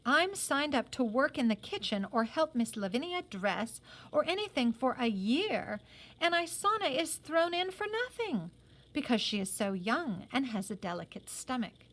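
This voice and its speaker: A woman reading a storybook, voicing a dreamy, matter-of-fact teenage working girl who recounts things plainly, with pauses. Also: sits high, sing-song, fairly bright tone, exaggerated voice.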